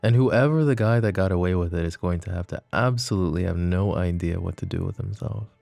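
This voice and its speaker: A man voicing a possessive, flirtatious mafia boss in an ASMR roleplay drama, with a low, soft, teasing drawl. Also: fairly quick, gravelly, no echo, faint music behind. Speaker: voice actor.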